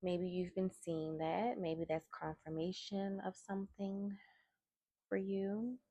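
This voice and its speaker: A soft-spoken woman doing a tarot card reading, talking in a slow, musing way. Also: mid-range voice, flat delivery, no room sound. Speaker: tarot reader. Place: indoors at a table.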